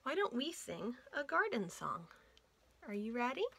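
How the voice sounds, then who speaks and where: A woman's voice: a little high, big pitch swings, unhurried, creaky, bright, forceful tone, a little hiss or hum. A woman reading a story aloud to children as a storyteller.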